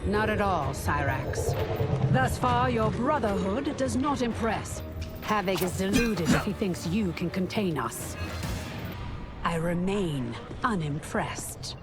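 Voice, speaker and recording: An actress playing a composed, commanding warrior empress in a video game cutscene, speaking with measured authority. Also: female voice, mid-range voice, animated. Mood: defiant, disdainful. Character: poised, commanding warrior empress, female ally and guide. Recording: music behind the voice.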